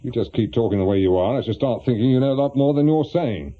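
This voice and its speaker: An actor playing a blunt, irritable middle-aged ex-detective in a British radio drama, gruff and sardonic, with an English accent. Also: male voice, medium pitch, animated, fast, phone-quality sound, natural voice. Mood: angry, exasperated.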